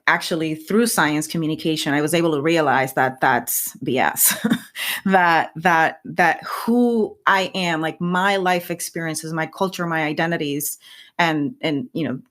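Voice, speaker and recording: A woman's voice: sits low, fairly bright tone. A woman from Puerto Rico in her thirties whose first language is Spanish, speaking reflectively as a podcast guest and often restarting her phrases. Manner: reflective, with frequent restarts and repeated words. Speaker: guest scientist. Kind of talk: podcast interview.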